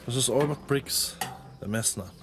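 An actor playing a polite, inquisitive and composed village gentleman in a radio drama, with an assured manner. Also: mid-range voice, moderate pace, heavy background noise, lightly acted. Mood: matter-of-fact. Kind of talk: German radio drama acting.

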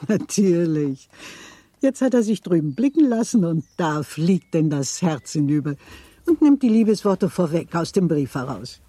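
A woman's voice: lowish voice, very animated, unhurried, dark tone, slightly rough, no echo, heavily acted. An actress playing a worldly, inquisitive society lady in a period radio drama, chatty and knowing. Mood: teasing, amused. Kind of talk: radio play acting.

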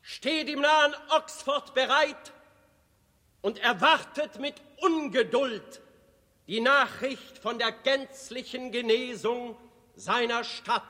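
An actor playing a solemn town herald, declaiming deliberately in a German radio play. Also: male voice, very high-pitched, sharp tone, heavily acted. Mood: proclaiming. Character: solemn proclaiming town herald announcing the king's return. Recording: long reverb, music behind.

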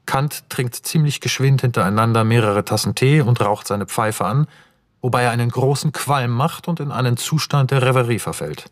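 A man's voice: mid-range voice, moderate pace, no echo, studio quality. A man narrating scene directions for a radio play in a scripted, matter-of-fact manner. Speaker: narrator reading screenplay directions.

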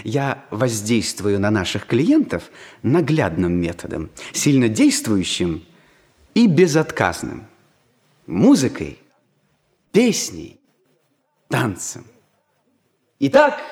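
An actor playing a cheerful young Polish student who narrates a radio comedy, addressing listeners with playful, mock-ceremonial courtesy. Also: male voice, mid-range voice, huge pitch swings, slow pace, pauses often, a little mellow, husky, full character voice. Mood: showy, teasing. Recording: 1960s radio play with music behind.

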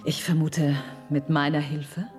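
An actress playing a cool, adventurous aristocratic countess, poised and sly, in a German radio drama. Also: female voice, deep voice, flat delivery, a little slow, over music. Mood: intrigued.

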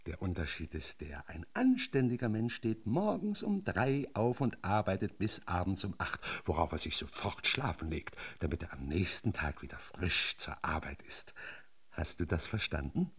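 A husky, very deep-voiced menacing marshal. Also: male voice, very animated, moderate pace, full character voice. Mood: mock-kind menace. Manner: mock-paternal, sardonic lecturing with sudden barked commands. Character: menacing, falsely kindly marshal overseeing enslaved workers. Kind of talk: German radio drama acting.

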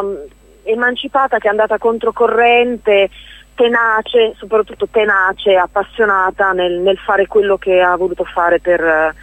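A woman's voice: a little high, no room sound, phone-quality sound. Woman chatting in a radio interview, dry close sound, hesitant and rewording stuff as she goes. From Romagna, Italy. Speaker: stage actress, interview guest.

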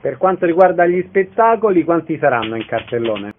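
A man's voice: a little high, sing-song, a little fast. Male interviewer, thin phone-like sound. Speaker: radio interviewer. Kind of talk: interview questions and introductions. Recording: thin, band-limited radio sound.

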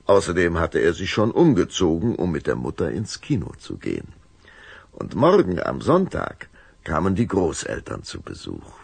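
A man narrating a children's audio story from a script in a dramatic, deliberate storytelling manner. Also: sits low, vocal fry, husky, no room sound.

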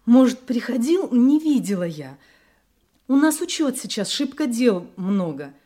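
A woman acting in a radio drama as a brusque, busy hotel floor attendant, plain-spoken and colloquial, with a husky, breathy voice. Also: fairly high pitch, big pitch swings, a little slow, warm tone. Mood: busy, defensive.

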